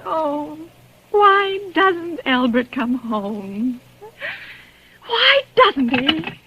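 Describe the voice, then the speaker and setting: A woman's voice: high-pitched, sing-song, very slow, exaggerated voice. A woman in 1940s radio acting, playing a nervous, demanding bedridden invalid who stammers when flustered, on a lo-fi vintage radio recording. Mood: frantic. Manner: stammering, frantic, petulant.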